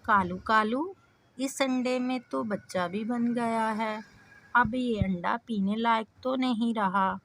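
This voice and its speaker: Woman acting a scheming female crow, unhurried, deliberate, raised pitch, children's moral cartoon. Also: a bit bright, a little hiss or hum. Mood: concerned. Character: scheming, self-serving female crow wife.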